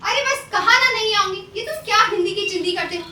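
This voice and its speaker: Woman acting shrill, bitter courtesan on a distant stage. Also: fairly quick, sharp tone, exaggerated voice. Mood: exasperated, defiant. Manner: scolding, declamatory. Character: bitter, sharp-tongued courtesan, proud and fed up. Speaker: stage actor. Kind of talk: Hindi stage play acting. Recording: roomy, distant stage recording with noise.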